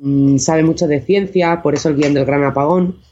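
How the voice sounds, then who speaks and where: A woman's voice: low voice, fairly even intonation, moderate pace, dark tone, slight background noise. A woman from chatting casually as an interview guest. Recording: dull, band-limited sound.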